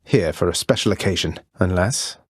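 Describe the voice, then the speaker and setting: An actor playing a wry young prince of the underworld in a video game, courteous with a dry, understated sarcasm. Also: male voice, sits low, normal speed, slightly rough, no room sound. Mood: sincere, grateful. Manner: dry, understated, politely sardonic. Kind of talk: video game character voice lines.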